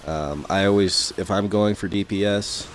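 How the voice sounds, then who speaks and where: A young man commentating his gameplay in a casual, rambling way full of fillers, his voice a little raspy from talking so long. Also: sits low, moderate pace, a little hiss or hum. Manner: rambling, many fillers and restarts.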